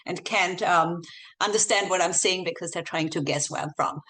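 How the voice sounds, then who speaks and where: A woman's voice: lowish voice, normal speed, fairly bright tone. A woman from Austria with an Austrian accent, a guest researcher explaining a report in a podcast interview with frequent ums and uhs. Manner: explanatory, with fillers like um and uh. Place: home office in the Bay Area.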